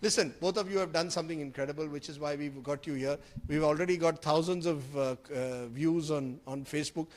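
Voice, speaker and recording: A middle-aged man with an Indian accent, hosting a lively interview and switching between English and Hindi with a teasing, energetic manner. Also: on the high side, brisk, hardly any pauses. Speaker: interviewer, host.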